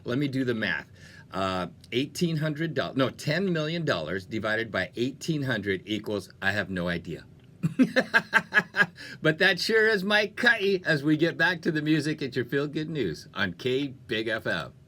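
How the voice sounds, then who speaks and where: Bright, animated male radio DJ. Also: mid-range voice, moderate pace. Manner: chatty, playful, jokey. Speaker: radio DJ and host. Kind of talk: upbeat music radio presenting with casual asides. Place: radio studio.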